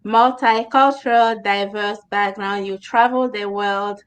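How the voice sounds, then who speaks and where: A woman's voice: mid-range voice, fairly even intonation, a little slow, clean tone. A woman hosting an online interview, introducing her guest and prompting friendly questions. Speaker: host.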